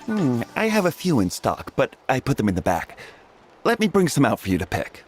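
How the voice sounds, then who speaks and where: A generous, jovial shopkeeper from a video game. His hearty delivery swings dramatically in pitch, with music clearly playing underneath. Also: wildly swooping pitch, brisk. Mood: generous, kindly.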